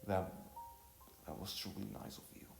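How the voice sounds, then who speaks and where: A man reads as a big, closed-off but tender-hearted storybook boy. He speaks hesitantly and stammers, in a low, creaky voice, with music behind him. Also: steady tone, moderate pace, reverberant. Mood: moved, shaky.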